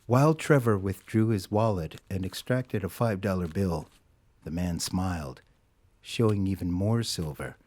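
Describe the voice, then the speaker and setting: Low-voiced man narrating an audio drama. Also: darkish tone. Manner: measured literary storytelling.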